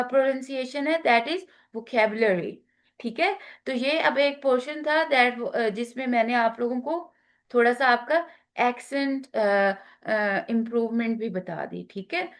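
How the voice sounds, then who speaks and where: A woman with a Pakistani accent teaching an online class, talking slowly with many fillers and switching into English phrases. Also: fairly high pitch. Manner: slow, halting, many fillers, mixing in English.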